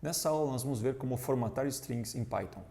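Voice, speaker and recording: A man's voice: mid-range voice, brisk, creaky, over loud music. A man with a Brazilian Portuguese accent giving an upbeat, friendly introduction to an online programming course as its presenter. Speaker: tech manager presenting the course.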